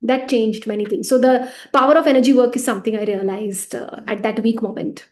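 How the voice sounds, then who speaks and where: A woman speaks in a slightly higher register and keeps a relaxed, conversational flow. She laughs easily, and her voice often sinks quietly at the ends of phrases as she answers questions about her work as a healing teacher. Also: fairly quick. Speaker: pranic healing teacher and center organizer. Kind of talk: casual interview answers.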